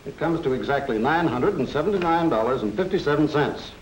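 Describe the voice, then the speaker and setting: Man playing a courteous 1940s banker, lively intonation. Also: on the high side, lightly acted. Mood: methodical, courteous. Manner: formal, measured, businesslike. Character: courteous, methodical male small-town bank loan officer. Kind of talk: 1940s educational film acting. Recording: noisy 1940s film soundtrack.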